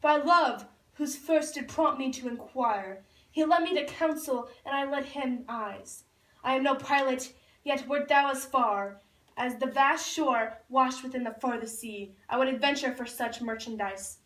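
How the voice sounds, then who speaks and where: A lovestruck young man in a puppet play , voiced by a woman, high in pitch, declaims ardent, poetic verse. The voice is recorded in a very echoey room, well away from the microphone. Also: a little slow, a bit bright. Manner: declaiming verse, reciting from a script. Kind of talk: Shakespeare recited for a school puppet show. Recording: echoey room, far from the mic.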